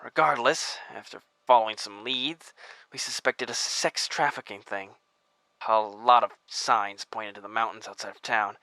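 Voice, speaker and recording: An actor playing a bleeding, weary former federal agent who talks in a halting, strained voice with sighs. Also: male voice, on the low side, fairly even intonation, pushed and bright, dry recording, rough recording. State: injured, weak and short of breath. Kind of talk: audio drama acting.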